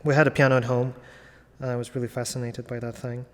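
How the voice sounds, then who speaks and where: A man's voice: medium pitch, little pitch movement, fast talker, in a large hall. A man in his thirties from Latvia and raised in Ukraine, a classical pianist chatting casually as an interview guest, thoughtful and full of fillers and restarts.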